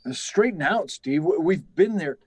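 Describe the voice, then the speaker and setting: An actor playing an aging, anxious, guilt-ridden test-flight chief in a radio drama, gruff, halting and urgent, often repeating himself. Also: male voice, on the high side, expressive, a little mellow, slight background noise, lightly acted. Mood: brisk, pressing. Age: older. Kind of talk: live radio drama acting.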